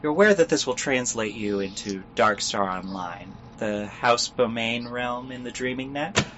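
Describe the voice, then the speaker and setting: A man playing a guarded, businesslike smuggler guide in a fantasy audio drama, brisk and transactional, on muffled audio. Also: medium pitch, lightly acted. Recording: muffled audio with faint noise.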